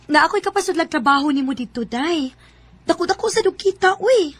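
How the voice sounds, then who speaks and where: A breezy female friend in a Filipino radio drama. She speaks high, with a Cebuano accent, coaxing and persuasive. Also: expressive, fairly quick, a little hiss or hum.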